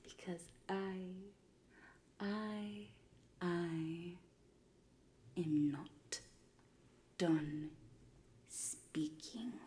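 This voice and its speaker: A woman playing a mocking, menacing pirate queen in a soft-spoken ASMR roleplay, breathy and often whispering close to the mic, drawing out and repeating her words. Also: on the low side. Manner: slow, drawn-out, repeating words, theatrical. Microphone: close ASMR microphone.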